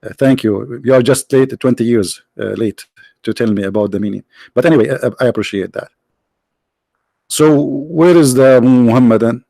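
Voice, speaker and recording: Host: man, mid-pitched, first language Arabic, mocking and halting delivery, dry close mic. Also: gravelly, mellow, no room sound. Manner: sarcastic, halting with many fillers, breaks into mock singing. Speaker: live-stream host. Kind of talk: mocking religious debate stream.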